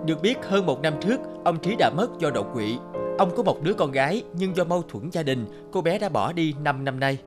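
A man's voice: on the high side, animated, fairly quick, close to an everyday voice. Man acting formal news reporter over music. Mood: formal, serious.

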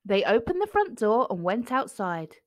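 A woman reads a children's story aloud in a fairly high, very melodic voice. Her phrasing is clear and expressive, made for young listeners, and the sound is dry. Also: moderate pace, dry recording. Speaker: children's book narrator. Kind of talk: expressive picture-book read-aloud.

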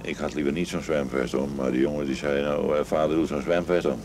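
An older man, a barge skipper, telling a story in an interview in a plain, halting way, recorded on an old documentary soundtrack. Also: very deep voice, steady tone. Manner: plain storytelling with hesitant fillers. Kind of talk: interview recollection. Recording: noisy 1960s documentary soundtrack.

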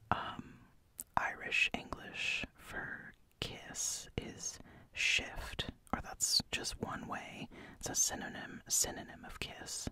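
Asmr person whispering real slow, breathy, explaining words, pausing and repeating stuff softly. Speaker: ASMR host.

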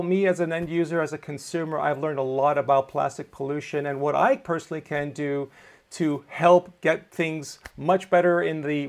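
Upbeat Greek-born man hosting, gravelly. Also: fairly high pitch. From Greece. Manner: upbeat, enthusiastic presenter. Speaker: host. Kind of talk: live online show hosting. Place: home video studio.

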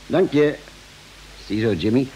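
An actor playing a steady, concerned space-expedition doctor in a vintage radio serial. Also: male voice, medium pitch, unhurried, natural voice, poor audio. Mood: calm, concerned. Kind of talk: vintage Dutch radio drama acting. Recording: hissy vintage radio recording.

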